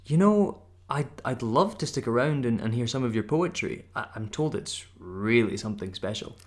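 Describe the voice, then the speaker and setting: A nervous, eager male suitor in an audio play, acted by a man. His medium-pitched voice moves up and down a lot. He stammers and halts awkwardly as he talks. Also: lively intonation, close to an everyday voice. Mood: nervous, hopeful. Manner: halting, stammering. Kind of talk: naturalistic radio-play dialogue.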